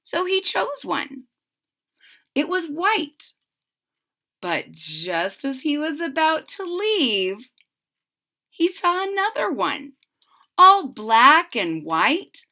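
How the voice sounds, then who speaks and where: A woman's voice: high-pitched, sing-song, takes it slowly, long pauses, sharp tone. A woman reading a picture book aloud expressively, stretching words for emphasis and adding chatty asides for young listeners. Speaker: read-aloud storyteller.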